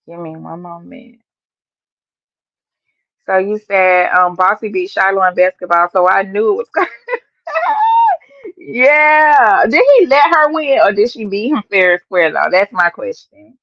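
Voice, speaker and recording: A slightly hoarse woman hosts a casual news livestream and chats to her viewers. She talks emphatically and often says her points twice. The sound is dull and lo-fi, close and dry. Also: mid-range voice, lively intonation, a little slow, pauses often, no echo. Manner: chatty, repeats her points, emphatic exclamations. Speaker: host of an online news show.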